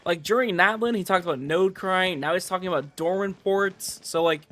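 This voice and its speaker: A young man livestreaming a game, chatting casually in a rambling, halting way with animated emphasis. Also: high-pitched. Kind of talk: casual livestream chat over gameplay.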